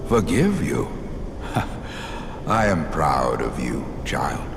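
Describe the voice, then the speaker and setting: A wise, loving old man of fantasy games, speaking with grand, archaic solemnity. His pitch sweeps widely from a very low, creaky base. Music plays behind the cavernous reverb. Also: huge pitch swings, exaggerated voice. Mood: proud, remorseful. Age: older. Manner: slow, solemn, grandly dramatic. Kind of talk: theatrical fantasy game acting with archaic diction.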